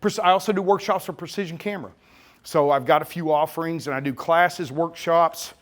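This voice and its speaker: A middle-aged man with a slurred Texas-Oklahoma drawl addresses a packed event room at a camera store, his voice sitting a touch higher than most men's. He talks in a loose, joking way, needling the crowd and tossing in sarcasm between points. It is a live keynote presentation. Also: a little fast. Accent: Texas-Oklahoma blend. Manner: joking, teasing, sarcastic, chatty. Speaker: nature photographer giving a keynote talk.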